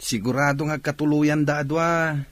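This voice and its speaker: An actor playing a confident, chatty older brother in an Ilocano radio drama, a young man with a husky voice and a Filipino Ilocano accent. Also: a little high, fairly even intonation, moderate pace, a bit bright, natural voice.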